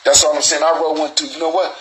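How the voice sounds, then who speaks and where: A man's voice: a little high, level tone, fast talker, fairly bright tone. A man, hosting his own show in a rambling, profane, preachy street monologue full of restarts and stutters. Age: 40s. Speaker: show host. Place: small recording booth.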